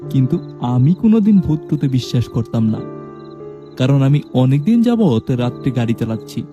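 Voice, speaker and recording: A man narrating a scripted first-person horror story in a steady, measured way. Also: mid-range voice, highs cut off, over music.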